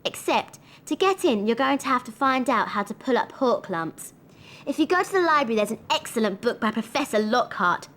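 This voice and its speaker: A woman with a British accent playing a brisk, bossy, helpful schoolgirl witch in a video game. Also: a little high, expressive, fairly bright tone. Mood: urgent, instructive. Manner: brisk, instructive.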